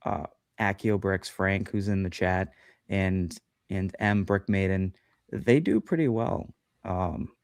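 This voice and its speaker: A man's voice: low-pitched, slow pace, gravelly, no echo, clean studio sound. A man chatting as a guest on a livestream podcast, talking loosely with lots of fillers and self-deprecating asides. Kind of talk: casual chat on a livestream.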